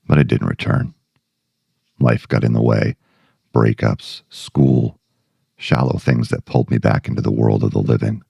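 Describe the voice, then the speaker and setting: A haunted male writer, played by a man, speaks in an extremely deep voice full of heavy gravel. He delivers his dark monologue with slow, ominous deliberation, yearning for madness. The sound is dry and close. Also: steady tone, dry recording, heavily acted. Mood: wistful, haunted.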